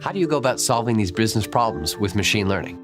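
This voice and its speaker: Lively male host over music. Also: fairly low pitch, fast talker. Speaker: show host, interviewer. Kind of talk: scripted voice-over questions.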